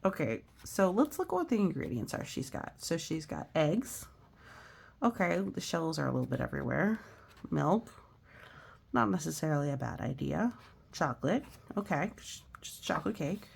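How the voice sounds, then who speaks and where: A woman's voice: low-pitched, very animated, moderate pace, vocal fry, husky. A woman reading a picture book aloud with casual asides, chatty and exclamatory, explaining things simply for children and often letting phrases drop to a near whisper.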